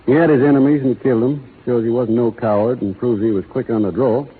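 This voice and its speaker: An actor playing a laconic young cowboy who talks in a slow, folksy rural drawl, in a 1930s radio drama. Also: male voice, medium pitch, fairly even intonation, husky, lightly acted. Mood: opinionated. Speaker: lead actor in a radio play. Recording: tinny 1930s radio broadcast with faint hiss.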